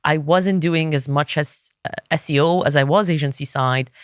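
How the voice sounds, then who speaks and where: Smooth-voiced woman from Egypt. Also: low-pitched, normal speed, no echo, clean studio sound. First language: Arabic. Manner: thoughtful, frequent restarts and fillers. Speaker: podcast guest, SEO consultant. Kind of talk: casual interview talk.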